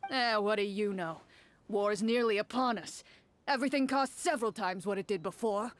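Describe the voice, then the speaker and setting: Brief: gruff, penny-pinching game merchant. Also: male voice, high-pitched, moderate pace, fairly bright tone, no echo. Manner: gruff, dismissive, haggling. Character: stingy, surly middle-aged male city merchant. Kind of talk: video game dialogue.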